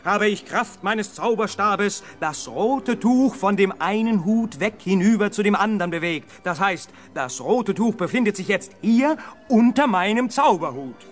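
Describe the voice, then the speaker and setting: An actor playing a showy, jovial fairground magician with theatrical patter in a children's radio play. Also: male voice, sits high, animated, a little slow, few pauses, soft background music. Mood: grandly mysterious. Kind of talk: 1970s German children's radio play acting.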